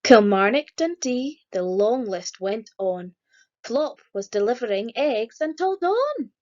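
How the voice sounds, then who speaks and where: Lively sing-song woman reading a storybook. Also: on the high side, takes it slowly, lo-fi sound. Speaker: storybook reader. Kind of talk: reading a rhyming children's story aloud.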